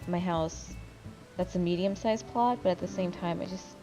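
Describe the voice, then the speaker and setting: Woman, low voice, casual gamer talk, blunt, game music behind. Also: moderate pace. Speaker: gaming video host. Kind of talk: casual chat while playing an online game.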